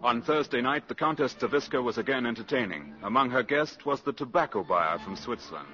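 A man's voice: mid-range voice, expressive, brisk, lightly acted. A man playing the composed, matter-of-fact narrator of a spy story in a 1950s radio drama. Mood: composed narration. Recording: muffled 1950s radio broadcast. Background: music.

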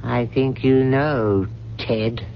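An actor playing a loyal, reproachful old family butler in a 1970s radio mystery, speaking formally and deliberately. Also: male voice, mid-range voice, fairly even intonation, gravelly. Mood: cold resentment. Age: older. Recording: dull, noisy old radio broadcast recording.

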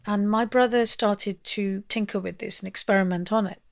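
A woman's voice: medium pitch, steady tone, normal speed, no echo. A woman telling personal memories conversationally, leaning on key words as she goes. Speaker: interview guest, singer. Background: music.

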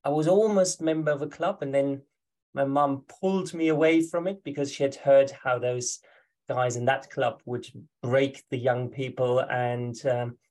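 A man's voice: medium pitch, steady tone, measured pace, a little mellow. German-accented man, hesitant guest. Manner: hesitant, many fillers and restarts, friendly. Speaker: guest, ultra runner. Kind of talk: podcast interview.

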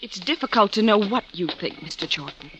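An actress playing a guarded young grieving daughter. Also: female voice, mid-range voice, lively intonation, a little fast, noisy background, natural voice. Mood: tense. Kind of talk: 1940s radio acting. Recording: dull old radio recording.

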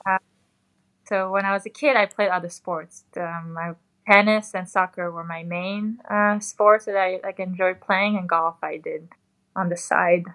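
A young woman from Belgium, a professional golfer being interviewed, answering in a relaxed, chatty way with many fillers. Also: lowish voice, a little slow, low-quality recording.

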